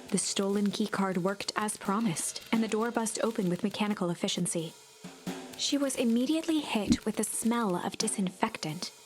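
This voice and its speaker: A woman reading dramatic storybook narration for a game. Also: mid-range voice. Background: music behind the voice.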